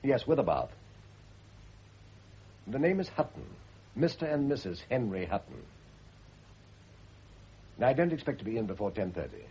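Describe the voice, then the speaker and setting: A man's voice: mid-range voice, sing-song, moderate pace, pauses often, mellow. An actor playing a wealthy, brooding, urbane Englishman in a radio drama, with a British accent and a theatrical, educated delivery. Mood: brisk, urbane. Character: wealthy, self-reproaching married Englishman, brooding yet urbane. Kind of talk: mid-century radio drama acting. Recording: dull old radio recording, faint noise.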